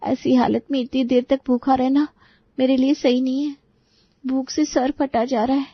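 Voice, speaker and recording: A woman's voice: high-pitched, level tone, normal speed, breathy edge, dry recording, dull audio. A woman playing a dutiful, wronged daughter-in-law in her twenties, pleading and protesting with hurt in a Hindi moral story. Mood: worried, weary.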